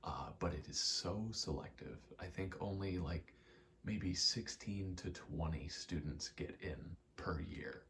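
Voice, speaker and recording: A man's voice: sits low, unhurried, fairly bright tone, slight background noise. A young American man in his twenties rambles in a casual, candid ASMR chat, swearing now and then. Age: 20s. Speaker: ASMR rambler and aspiring actor.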